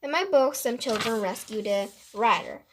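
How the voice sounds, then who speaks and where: A woman voicing a mischievous woman mystery writer in a storybook, explaining with animated emphasis. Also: a little high, normal speed, background noise, natural voice. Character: playful, mischievous woman mystery author confessing her scheme.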